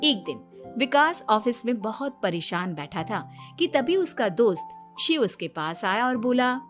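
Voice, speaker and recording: A woman's voice: on the high side, sing-song, measured pace, soft background music. A woman narrator giving scripted storytelling narration for a cartoon moral tale.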